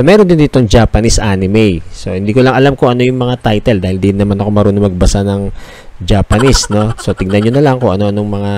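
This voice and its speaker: Low-pitched Filipino man, casual vlog narration. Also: darkish tone, dry recording. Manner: conversational, salesman-like pitching. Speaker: vlogger. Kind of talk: casual shop tour narration.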